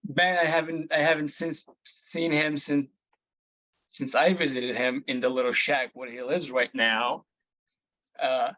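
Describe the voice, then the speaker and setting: A man in his thirties with a Moroccan accent, giving an informal talk in a halting way that repeats words. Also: medium pitch, flat delivery, sharp tone, highs cut off. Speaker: guest speaker, plant geneticist. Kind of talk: informal talk at an online meeting. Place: online video meeting.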